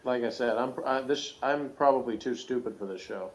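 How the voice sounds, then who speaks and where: A man's voice: medium pitch, a little fast. A man chatting casually as a co-reviewer, with a self-deprecating way of talking. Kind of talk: casual chat. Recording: dull lo-fi audio, faint noise.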